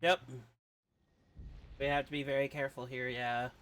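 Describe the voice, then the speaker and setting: A man's voice: mid-range voice, level tone, normal speed, a bit bright. A man streaming a video game with casual commentary, reacting and reading along. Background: game music and sounds.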